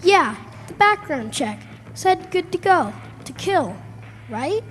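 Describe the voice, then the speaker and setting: A cool, taunting talking bullet voiced by a woman in an audio drama. It speaks very high and unhurriedly, swimming in long reverb. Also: big pitch swings, slow, a bit bright, a little hiss or hum, exaggerated voice.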